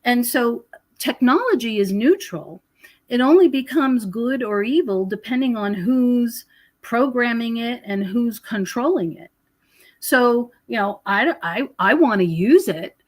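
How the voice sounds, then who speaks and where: An American woman with a somewhat high voice talks as a guest in a podcast discussion. She explains her views conversationally and leans on key words for emphasis. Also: expressive, measured pace. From United States. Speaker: podcast guest, researcher of prophecy and UFOs.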